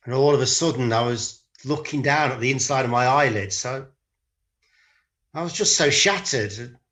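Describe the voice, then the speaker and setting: A man's voice: a little high, fairly bright tone. A man playing a tired, defensive disciple who recounts a night in a hesitant, colloquial, confessional monologue. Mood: sheepish, defensive. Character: weary disciple, sheepish, defensive, mildly resentful, recounting a night. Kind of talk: dramatic monologue in conversational modern speech.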